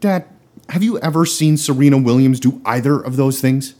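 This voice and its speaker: A man's voice: medium pitch, normal speed, a little mellow, close to an everyday voice. A man acting in a comedy sketch as an earnest, exasperated twenty-something son, hesitant and pleading as he confides in his parents. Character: earnest exasperated 25-year-old son confessing secret superhero identity.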